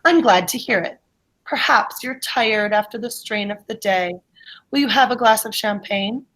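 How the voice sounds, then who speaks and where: A husky, dismissive middle-aged gentleman, read by a woman in an amateur readers' theatre piece. He talks down in emphatic, old-fashioned phrases, brusque and sure of himself. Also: mid-range voice, normal speed, lightly acted, rough recording. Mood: placating.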